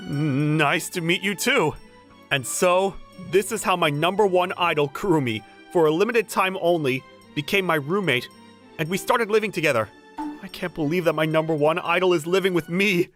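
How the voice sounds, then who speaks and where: A woman's voice: fairly low pitch, normal speed, close to an everyday voice. A woman voicing a flustered, lovestruck young working man who gushes and stammers in a manga dub. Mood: awed, narrating. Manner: excitable, stammering, gushing. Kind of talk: manga dub voice acting with narration. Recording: music under the voice.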